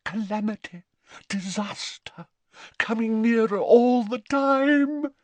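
A haughty older woman who teaches divination, acted out by a male storybook reader. The voice is pitched high and swings widely, drawing words out with theatrical, mystical dignity. She sounds self-important and easily affronted, and the recording is close and dry. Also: very animated, measured pace, no room sound, full character voice. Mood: ominous.

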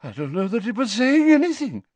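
Guy doing a posh-acting older lady fortune teller, high and swoopy, sniffy n dramatic, storybook style, dry sound. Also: sing-song, a little mellow, no echo, exaggerated voice. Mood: flustered, sniffy. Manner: theatrical, sniffy, drawn-out words, self-important dignity. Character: dramatic, haughty older female divination teacher, mystical, easily affronted.

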